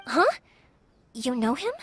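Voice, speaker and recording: An actress playing a spirited, caring young heroine in an anime game, earnest and expressive. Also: female voice, high-pitched, slow, slightly rough, heavily acted. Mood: hesitant. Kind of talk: acted anime game dialogue.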